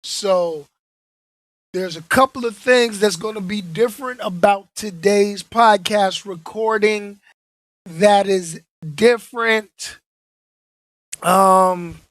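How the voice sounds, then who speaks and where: Hoarse guy hosting his podcast, slow easygoing talk, dry close sound. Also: high-pitched, no echo. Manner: laid-back, repeats himself, joking asides. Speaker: podcast host. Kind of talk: casual podcast chat.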